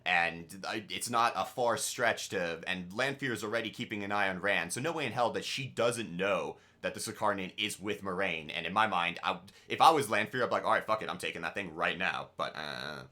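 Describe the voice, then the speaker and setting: Bright, forceful low-voiced man chatting bluntly. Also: fairly quick, few pauses. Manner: informal, blunt, swears casually. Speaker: fan review show co-host. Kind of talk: casual opinionated episode discussion.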